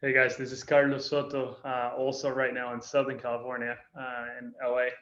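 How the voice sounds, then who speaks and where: A young man from Costa Rica, a guest telling his story in a relaxed, conversational way. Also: mid-range voice, a little fast, echoey room, lo-fi sound. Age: young adult. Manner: relaxed, conversational, uses "like" and "right". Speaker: guest, company founder. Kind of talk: casual interview storytelling.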